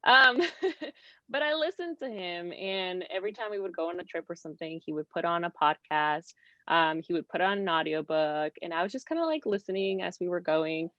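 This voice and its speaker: A woman's voice: on the low side, lively intonation, moderate pace, fairly bright tone. A woman from Mexico and California chatting casually as an interview guest, telling stories in an informal, talkative way. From Mexico, later California. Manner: chatty, informal storytelling with quoted asides. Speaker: interview guest, real estate investor. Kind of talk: casual video interview chat. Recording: roomy, dull lo-fi call audio.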